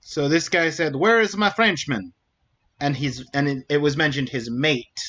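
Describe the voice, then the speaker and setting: A man's voice: a little high, normal speed, sharp tone, muffled recording. A man casually commentating a game stream, thinking aloud as he reasons through clues.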